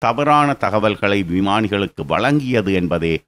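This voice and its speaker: Low-pitched man narrating a news explainer. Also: lively intonation, a little slow.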